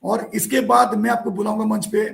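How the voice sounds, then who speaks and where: Hoarse guy hosting a live stream, rough croaky voice, keeps saying sorry about his throat. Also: sits high, little pitch movement, fairly quick. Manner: apologetic, repeatedly chasing guests about camera problems. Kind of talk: hosting a live online poetry meet. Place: remote spot with poor network.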